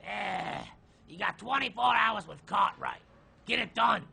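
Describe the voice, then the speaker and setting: A man playing a gruff, impatient, foul-mouthed police chief in a crime audio drama, curt and raspy. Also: sits high, a little slow, bright, forceful tone, heavily acted. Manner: curt, barking orders.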